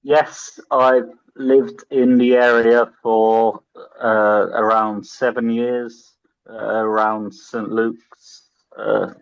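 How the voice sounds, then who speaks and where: Deep, gravelly, slow male candidate, hesitant, muffled dry sound. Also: level tone, no room sound. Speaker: council candidate. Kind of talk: speaking at a public meeting.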